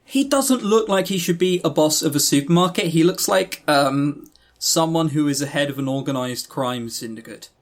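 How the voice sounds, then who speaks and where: A man's voice: fairly high pitch, moderate pace. A man co-hosting a podcast, giving casual critical commentary in a sardonic, exasperated manner. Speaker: podcast co-host.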